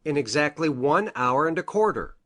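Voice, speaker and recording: A woman acts out a grave gentleman doctor from a detective storybook. He speaks formally and precisely, in measured sentences, with a lively rise and fall in pitch. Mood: formal, earnest. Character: grave, hesitant male country doctor, troubled witness. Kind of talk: audiobook character reading.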